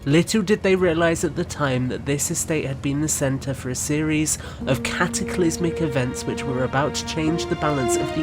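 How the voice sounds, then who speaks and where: A man narrating an audio drama in a scripted storytelling style. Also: a little high. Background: musical score.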